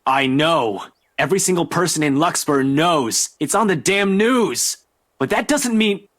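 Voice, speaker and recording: An actor playing a stern, exasperated, by-the-book police investigator in a visual novel, dismissive and quick to swear, with a husky voice. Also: male voice, on the high side, lively intonation, a bit bright. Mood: angry, reproachful.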